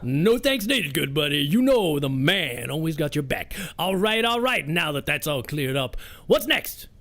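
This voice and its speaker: Hyped up radio sidekick, high creaky voice, slangy and swaggering. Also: male voice, very animated, measured pace, a bit bright, full character voice. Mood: gleeful. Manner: slangy, swaggering, talks of himself in third person. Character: brash, hyped-up male radio sidekick, loyal jokester. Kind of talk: comedic radio drama acting.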